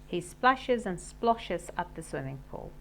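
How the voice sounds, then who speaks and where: A woman voicing a small, insistent, tattling little sister in a storybook, loud and taunting. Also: lowish voice, sing-song, slow, gravelly, a little hiss or hum, exaggerated voice.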